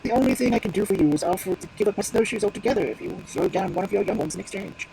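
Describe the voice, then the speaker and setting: A woman voicing a cunning male fox in a storybook reading, with a calm, coaxing and falsely polite manner. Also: mid-range voice, rapid-fire, vocal fry, a little hiss or hum, voice pushed up, low-quality recording. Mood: falsely apologetic. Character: sly cunning male fox, smooth schemer.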